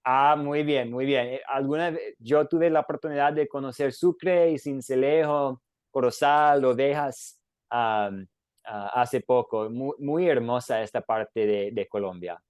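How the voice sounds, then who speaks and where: Soft-spoken man teaching a Bible class. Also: mid-range voice, measured pace, clean tone, dry recording, studio quality. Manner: gentle, with frequent fillers and restarts. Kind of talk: closing an online class with a prayer. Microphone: video call.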